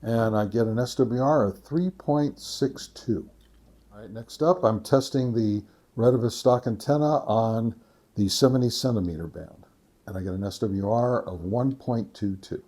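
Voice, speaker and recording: Mellow, halting man reviewing gear. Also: on the low side. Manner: halting, methodical, reads figures out deliberately. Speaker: video host, radio reviewer. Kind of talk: casual product test narration.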